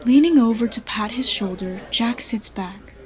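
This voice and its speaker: A woman narrating a radio drama from a script in a husky, breathy voice. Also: fairly high pitch, normal speed.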